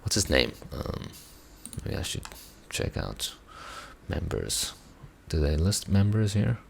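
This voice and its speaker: A man, an Afrikaans speaker, hoarse from singing, talking through a song reaction in a reflective, hesitant way with many restarts. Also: low-pitched, big pitch swings, takes it slowly, slight background noise. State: hoarse, strained voice. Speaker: musician reacting to songs. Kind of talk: casual reaction commentary.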